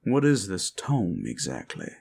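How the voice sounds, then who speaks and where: A man's voice: sits low, huge pitch swings, unhurried, vocal fry, a little mellow, breathy edge, full character voice. A man voicing a sceptical fantasy companion with a wry, questioning manner in an improvised ASMR roleplay.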